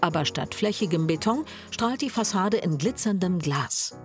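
A woman reading scripted voice-over narration for a TV report in a measured way. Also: fairly low pitch, expressive, faint music behind.

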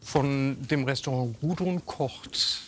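A man's voice: mid-range voice, slow pace, a little hiss or hum. Upbeat male TV presenter. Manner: chatty, upbeat, enthusiastic. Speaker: TV show host. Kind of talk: casual studio presenting.

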